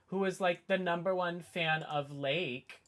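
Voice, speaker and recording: A woman's voice: fairly low pitch, a little slow. Woman, light Boston accent, casual stream chat, rambling, lots of fillers. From suburbs outside Boston.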